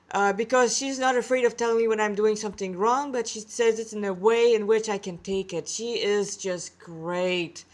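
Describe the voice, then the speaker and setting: Woman, somewhat high voice, amateur author, informal story reading, candid asides, self-deprecating. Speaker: amateur author reading own fan fiction.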